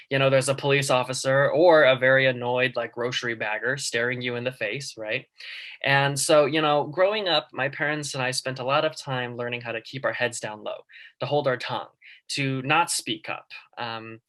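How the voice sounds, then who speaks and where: Earnest young man with a bright voice. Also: mid-range voice. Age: young adult. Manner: earnest, personal storytelling with frequent ums. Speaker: student guest presenter. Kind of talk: class presentation.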